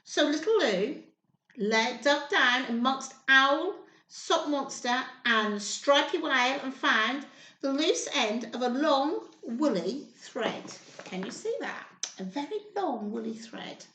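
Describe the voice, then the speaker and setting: Animated high-voiced woman reading to children. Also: takes it slowly. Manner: encouraging, expressive, often asking the children questions. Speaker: storyteller at a childcare group. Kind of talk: animated read-aloud for small children. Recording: roomy echo, faint background noise.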